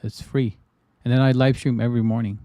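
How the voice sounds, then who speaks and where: A man's voice: mid-range voice, fairly even intonation, brisk, dark tone, smooth, dry recording, clean studio sound. A man from the Philippines casually chatting on his livestream, rambling with frequent restarts and fillers. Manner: loose, repetitive, lots of restarts and fillers. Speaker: trading livestreamer.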